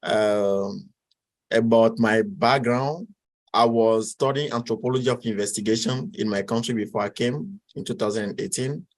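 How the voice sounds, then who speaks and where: A man in his twenties from Togo with a Togolese French accent, answering interview questions in a halting way, with many fillers and restarts. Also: lowish voice. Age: 20s. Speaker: guest.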